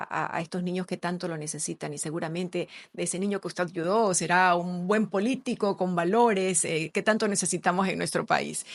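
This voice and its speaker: A woman from Ecuador hosting a podcast, making a heartfelt appeal with some hesitations. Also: medium pitch. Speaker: podcast host. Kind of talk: podcast interview.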